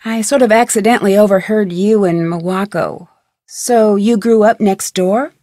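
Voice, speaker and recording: A woman's voice: mid-range voice, normal speed, mellow, no echo, clean studio sound, lightly acted. A woman voicing a curious, polite young amateur detective in a video game, with a friendly, inquisitive delivery. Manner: inquisitive, friendly, clearly articulated questioning. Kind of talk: video game dialogue, single scripted lines.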